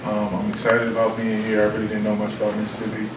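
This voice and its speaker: A man's voice: lowish voice, completely flat delivery, fast talker. A man from the DC and Maryland area, an offensive line coach, giving a brief, lightly joking introduction. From Washington DC and Maryland area. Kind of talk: self-introduction to a room. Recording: roomy, distant, noisy room recording.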